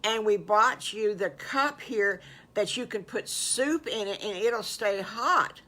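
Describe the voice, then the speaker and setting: Woman as an eager, bright-voiced little storybook pig. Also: mid-range voice, takes it slowly, voice pushed up. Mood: cheerful. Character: eager, friendly young storybook pig playmate.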